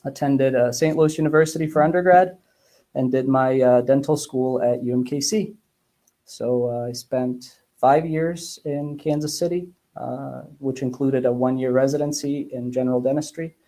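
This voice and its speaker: A man in his thirties giving measured, casual interview answers with hesitant pauses. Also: medium pitch, steady tone, warm tone. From Bosnia, grew up in Germany and the United States. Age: 30s. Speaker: guest, dentist.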